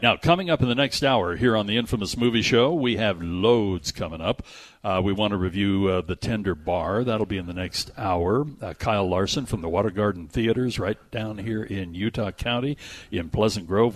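A man's voice: lowish voice, normal speed, no room sound. A man hosting a radio show, bantering and reading sponsor plugs effusively, stretching words for emphasis. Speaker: radio movie show host.

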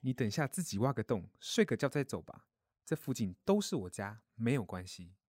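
A man voicing a kindly grown-up mole in a children's audio story, gentle, caring and reassuring. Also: mid-range voice, very animated, a little fast, slightly rough, no room sound. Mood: hospitable.

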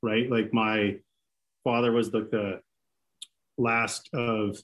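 A man's voice: fairly low pitch, flat delivery, slow pace, a bit bright, muffled recording. A man in his forties with Greek as his first language, talking casually as a podcast guest, with many fillers and restarts. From Seattle. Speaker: interview guest.

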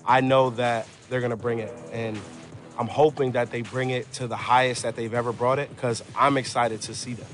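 Flat-voiced excited football man. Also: medium pitch.

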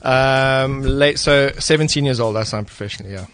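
A South African man speaks as a radio studio guest in a medium-pitched voice. He looks back on his life in a casual, conversational way. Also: normal speed, fairly bright tone. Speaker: studio guest, retired professional goalkeeper. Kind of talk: casual interview recollection.

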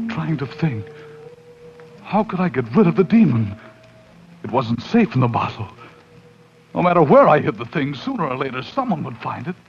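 An actor playing a haunted man unravelling into madness, melodramatic and overwrought in 1940s radio horror style. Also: mid-range voice. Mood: horrified. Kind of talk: 1940s radio horror acting, dramatic narration. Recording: muffled, faintly noisy 1940s radio recording.

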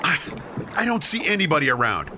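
Radio play role: actor as exasperated angler, high male voice, lively swings, bickering testily. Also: fairly quick, a little hiss or hum, exaggerated voice. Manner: testy, impatient. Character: exasperated, impatient fisherman bickering with his partner.

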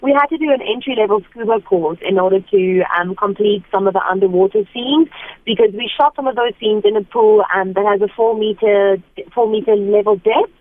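A woman's voice: mid-range voice, dry recording. Young South African woman guest, chatty and self-mocking; phone-quality audio, no room. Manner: chatty, laughs often, quick asides. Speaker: actress guest. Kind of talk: casual interview chat. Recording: tinny phone line.

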